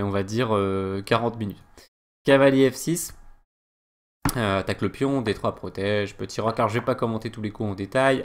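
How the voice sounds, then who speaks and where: A man's voice: medium pitch, fairly bright tone. A man from France teaching a chess game analysis, talking hesitantly with many fillers and chatty digressions. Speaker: chess coach.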